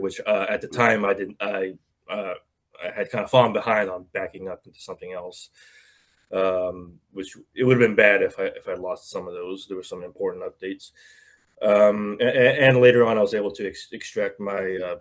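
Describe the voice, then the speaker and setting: A man co-hosting a casual chat podcast over a video call, his throat clogged and his speech halting with fillers. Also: on the low side. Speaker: podcast co-host.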